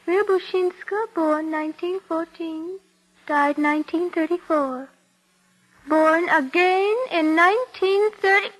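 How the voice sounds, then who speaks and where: Tiny, piercingly high puppet girl. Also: slow, low-quality recording. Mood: solemn, accusing. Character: carved female puppet come to life, delicate, plaintive, truth-telling. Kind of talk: 1940s-50s radio drama acting. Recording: old radio broadcast, music behind.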